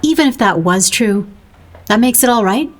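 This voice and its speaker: An actress playing a disapproving, sarcastic roommate in a naturalistic audio drama, with a dry, needling, challenging way of talking. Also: female voice, on the high side, animated, normal speed, close to an everyday voice. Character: blunt, sarcastic, disapproving female roommate of a painter.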